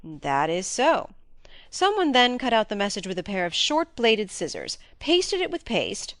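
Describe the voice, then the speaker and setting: A woman's voice: medium pitch, huge pitch swings, unhurried, fairly bright tone, dry recording, clean studio sound, exaggerated voice. A woman voicing a blunt, inquisitive male baronet with an emphatic, questioning manner in an audiobook. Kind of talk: audiobook character reading.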